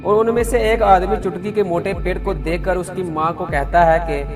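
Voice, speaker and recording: A man's voice: high-pitched, steady tone, normal speed. A man narrating a scripted story as a storyteller. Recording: music behind the voice.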